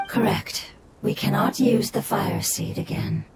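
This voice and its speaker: A woman voicing a gentle, caring young female forest spirit who explains things softly in a game. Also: medium pitch, measured pace, heavy background noise, natural voice. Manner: soft, explanatory, compassionate. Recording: loud game score music behind.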